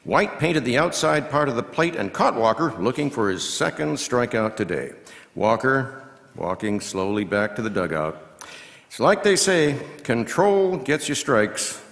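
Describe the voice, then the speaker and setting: Reverberant hall. Seasoned male baseball commentator performed by a man, folksy, highly animated intonation, measured patter and punchy calls. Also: vocal fry, heavily acted. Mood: punchy, excited. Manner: measured asides breaking into punchy, drawn-out calls. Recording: long hall reverb from live venue.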